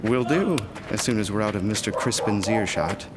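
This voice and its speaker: A man's voice: lowish voice, lively intonation, normal speed, noisy background, close to an everyday voice. A man playing a friendly, cheeky young house servant in a gothic audio drama, chatty and teasing. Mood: cheerful. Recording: music playing behind the voice.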